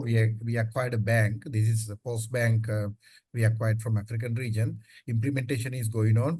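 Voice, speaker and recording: A man's voice: medium pitch, dark tone, no echo. A man speaking as a company executive, answering questions off the cuff in a conversational way, often saying "like, you know.". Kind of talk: unscripted business earnings call.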